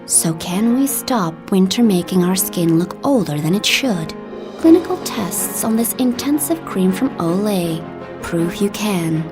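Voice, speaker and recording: A woman from the Netherlands, a native Dutch speaker, reading scripted voice-reel narration in an American accent with a breathy voice. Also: mid-range voice, lively intonation, a little slow, music in the background. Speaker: voice actor.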